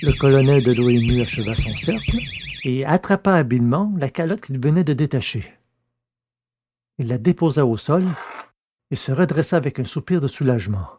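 A man reading scripted science fiction narration in a measured, literary way. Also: mid-range voice, expressive, warm tone, slight background noise. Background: music.

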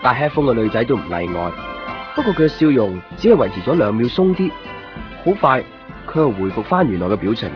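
A man's voice: medium pitch, big pitch swings, a little fast, highs cut off, lightly acted. A man playing a wistful shopkeeper, narrating his thoughts in a radio drama in understated Hong Kong Cantonese. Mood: wistful, reflective. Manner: reflective, understated. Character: wistful male neighbouring copy shop keeper narrating his thoughts. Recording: band-limited 1990s radio recording over music.

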